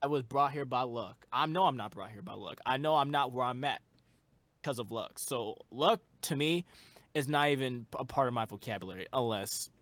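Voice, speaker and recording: A young man talks as an interview guest about his music, rambling and earnest, with a steady mid-range voice. He fills his sentences with fillers and restarts. The sound is dry and close, with no room around it. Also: mid-range voice, normal speed, no room sound. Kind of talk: casual interview chat.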